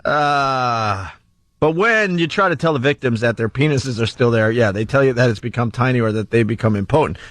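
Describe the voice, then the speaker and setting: A man's voice: mid-range voice, brisk, fairly bright tone, no room sound. An American man hosting a talk radio show with casual comic banter, close on a studio microphone.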